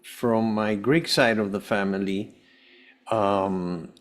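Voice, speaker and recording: A man from Venezuela, a podcast guest, telling a personal story in a halting way, often repeating words. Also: fairly low pitch, fairly even intonation. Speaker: podcast guest, holistic health coach.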